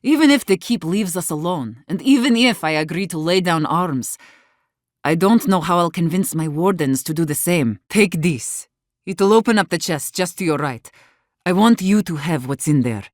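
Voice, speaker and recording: Woman acting a low-pitched, embittered warden leader. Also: lively intonation, moderate pace, no room sound, clean studio sound, close to an everyday voice. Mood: guarded, earnest. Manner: blunt, profane, earnest. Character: embittered, defiant woman warden leader, weary but fierce. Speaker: video game voice actor. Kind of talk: dramatic game dialogue.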